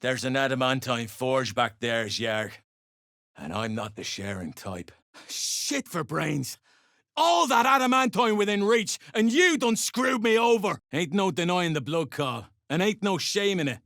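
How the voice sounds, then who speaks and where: An actor playing a gruff, greedy, foul-mouthed dwarf overseer in a video game, bossy and sarcastic in clipped phrases. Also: male voice, a little high, lively intonation, measured pace, a bit bright, dry recording, clean studio sound, exaggerated voice. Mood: bitter, gruff. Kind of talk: video game character acting.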